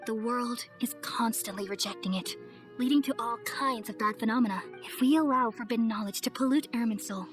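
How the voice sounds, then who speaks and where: A serene elder goddess played by a woman with a fairly high, gentle voice. She speaks in a measured, motherly and solemn way, as in an anime video game. Soft music runs underneath. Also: close to an everyday voice.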